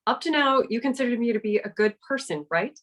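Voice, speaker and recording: Woman reading a wounded, accusing wife, a bit high-pitched. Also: lively intonation, brisk. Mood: hurt. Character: hurt wife, stern and wounded. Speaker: table-read actor. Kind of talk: script table read.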